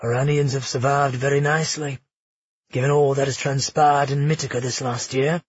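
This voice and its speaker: A man voicing a cold, brooding young male prince who speaks dryly, with a husky voice. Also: medium pitch, little pitch movement, normal speed, dry recording, highs cut off, close to an everyday voice. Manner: dry, curt, menacing.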